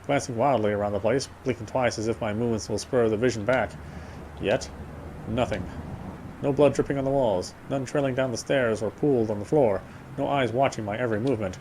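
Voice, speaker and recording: A man's voice: mid-range voice, a little fast, darkish tone, poor audio. Man narrating horror over background noise. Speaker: playthrough host. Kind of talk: dramatic read-aloud narration of a horror game.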